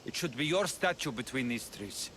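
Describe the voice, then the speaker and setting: A man's voice: medium pitch, lively intonation, moderate pace, pushed and bright, a little hiss or hum. A man playing a sly, greedy messenger in a game cutscene, oily, teasing and theatrical. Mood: oily, mocking. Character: sly, flattering, greedy male messenger turned traitor. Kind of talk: dramatic game cutscene acting.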